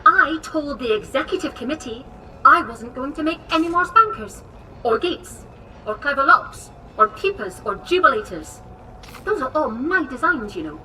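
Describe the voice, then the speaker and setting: An actress playing a brisk, eccentric captive woman scientist in a video game, bossy and chipper with a British accent. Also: high voice, very animated, bright, forceful tone, recorded from a distance, a little hiss or hum, exaggerated voice, low-quality recording. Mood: bright, eager. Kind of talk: video game character acting.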